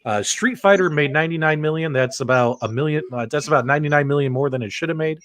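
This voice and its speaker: A man's voice: on the high side, fast talker, a bit bright, no room sound. A man hosting a live-streamed panel, chatting casually, joking and quizzing the others.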